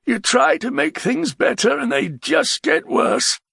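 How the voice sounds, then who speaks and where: A resigned, consoling father figure in an audiobook, full of folksy wisdom. The voice is pitched far up, husky and animated. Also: a little slow, dry recording, clean studio sound, heavily acted. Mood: mournful consoling.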